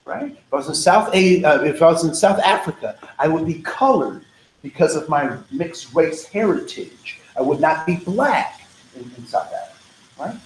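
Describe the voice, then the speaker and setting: A man's voice: on the high side, animated, moderate pace. A man leading a diversity training workshop, talking casually and wandering off on tangents, often checking in with "right?". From United States. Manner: casual, digressive, frequent "right?" and "um". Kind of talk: conversational workshop lecture. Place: training room. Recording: echoey training room, faint noise.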